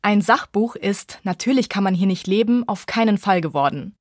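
A woman's voice: medium pitch, expressive, moderate pace, dry recording. A woman reporter reading a scripted radio book review feature.

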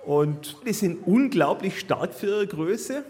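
A man's voice: high voice, lively intonation, slow, darkish tone. A man speaking as a zoologist guest, explaining animal facts to children informally and matter-of-factly.

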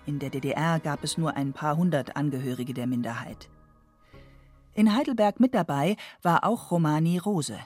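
A woman reading a scripted documentary narration in a measured, even way into a studio mic. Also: sits low, soft background music.

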